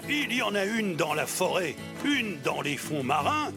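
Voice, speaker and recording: An older male truck character from a children's cartoon. The delivery is theatrical and expository, with an animated, widely moving pitch. Loud music plays behind the voice. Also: a little slow. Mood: theatrical, mysterious. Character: Sam the pirate and Grandpa Ted, older male trucks. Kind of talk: French children's cartoon acting.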